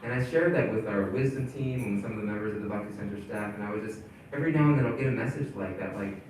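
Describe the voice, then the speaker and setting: A man with a low voice gives a casual presentation to his community. He stops and restarts often and fills gaps with little sounds. The mic is far off in a very echoey hall, with a faint hiss underneath. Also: a little mellow. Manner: halting, with many fillers and restarts.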